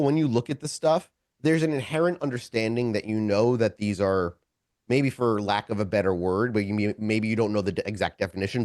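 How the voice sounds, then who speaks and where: Man narrating a tabletop game, lively intonation. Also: lowish voice, no room sound, studio quality. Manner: halting, self-correcting, searching for words. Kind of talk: improvised descriptive narration addressed to players.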